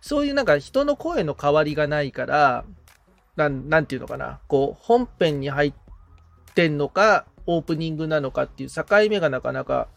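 A man's voice: a little high, lively intonation, brisk, gravelly. A man chatting casually and informally as a podcast assistant, thinking aloud as he goes. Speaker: podcast assistant, guitarist receiving advice. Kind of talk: casual chat.

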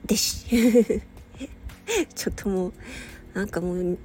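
A woman's voice: medium pitch, expressive, takes it slowly. A woman chatting casually to her listeners, hesitant and meandering, often giggly, sounding tired with slightly slurred speech. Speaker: audio channel host and hobby voice performer. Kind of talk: casual solo chat to listeners. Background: soft background music or ambience.

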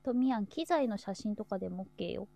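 A woman hosts a live show in an easy, chatty way. Her voice is mellow and soft-edged, with a good deal of creak in it. She speaks informally, as in unscripted conversation. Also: medium pitch, lively intonation, fast, no echo, soft background music. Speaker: host of a live chat show. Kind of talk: casual chat.

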